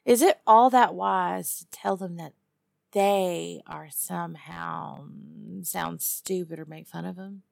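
Low, gravelly Southern lizard huntress, acted by a woman. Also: no room sound, clean studio sound, full character voice. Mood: sardonic, probing. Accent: Southern US, Texas. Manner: drawling, sardonic, teasing, with musing pauses and hums. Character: tough, teasing female lizard-person huntress, guarded but lonely. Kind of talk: one-sided immersive audio roleplay to a captive listener.

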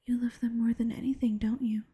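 A woman voicing an affectionate, teasing bunny-girl girlfriend in a slow, coaxing ASMR whisper. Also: a little high, flat delivery, mellow, breathy edge, no echo. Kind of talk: ASMR roleplay.

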